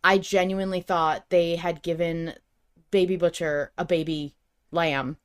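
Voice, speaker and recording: A woman's voice: lowish voice, steady tone, a little slow, clean tone. A woman hosting a podcast in casual fan chat, with an effusive way of talking. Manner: effusive, gushing. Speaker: podcast host.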